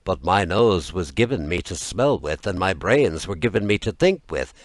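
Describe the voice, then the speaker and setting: A man acts out a clever coyote from a storybook, proud and boastful. The voice is low and dry, with measured, self-assured phrases that rise and fall for emphasis. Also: very animated, dry recording. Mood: confident, helpful. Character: clever, proud male coyote in a fairy tale.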